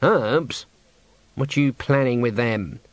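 A man's voice: medium pitch, slow pace, no echo, natural voice. A man voicing a stern, brusque old woman witch in a storybook reading, blunt and curt.

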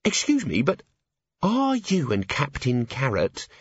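A man's voice: medium pitch, huge pitch swings, a little slow, husky, dry recording, highs cut off, pitched above the performer's own voice, full character voice. A man voicing a timid, earnest young female dwarf officer in an audiobook, eager and deferential. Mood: shy, curious.

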